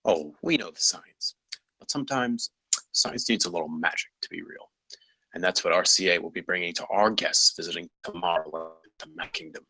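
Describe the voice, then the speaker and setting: A man playing a composed, wizened designer in his sixties at a script table read, husky and assured. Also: low-pitched, normal speed, sharp tone, dry recording, full character voice, rough recording. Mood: showman-like. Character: wizened 66-year-old veteran designer, composed and focused.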